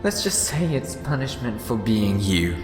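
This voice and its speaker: A man's voice: on the low side, sing-song, unhurried. A man playing a scheming, mischievous and theatrical villain in a sci-fi fan audio drama, mocking in manner. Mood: menacing, gloating. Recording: echoey reverberant hall, music underneath.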